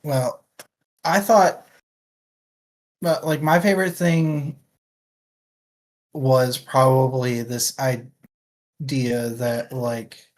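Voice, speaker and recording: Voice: mid-range voice, fairly even intonation, drawn-out words, pauses often, poor audio. A podcast host chatting casually about books in a wry, hedging manner. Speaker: book discussion show host. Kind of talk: casual chat, book discussion.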